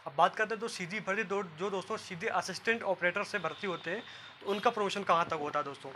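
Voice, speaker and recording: A man's voice: high voice, fast, sharp tone, slight background noise. A man giving an informal explainer to viewers, going step by step, repeating himself and addressing listeners as friends. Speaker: presenter of an exam and career guidance video.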